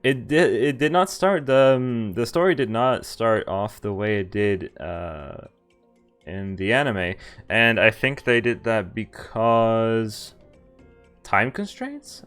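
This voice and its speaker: A man's voice: lowish voice, expressive, slow, a bit bright, clean tone. A man streaming a visual novel, reading its dialogue aloud and commenting casually. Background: game music.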